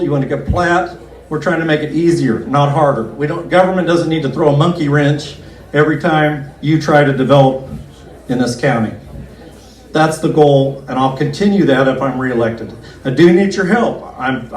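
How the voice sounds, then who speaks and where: Earnest man giving a folksy stump speech. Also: fairly high pitch. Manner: folksy, earnest, with slow emphatic stretches. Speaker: county commissioner running for reelection. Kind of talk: campaign speech at an outdoor picnic. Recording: roomy, distant PA-style recording.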